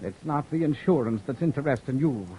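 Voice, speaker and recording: A man acting a proud, sharp-tongued young wanderer who narrates his own tale in a 1940s radio drama, with a wry, sardonic telling. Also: mid-range voice, animated, fairly quick, a little hiss or hum, natural voice, low-quality recording. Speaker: narrator and lead character. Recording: old radio broadcast with faint music.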